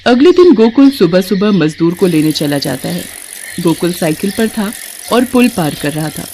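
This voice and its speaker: A woman's voice: on the low side, big pitch swings, moderate pace, background noise. Woman narrating a scripted story.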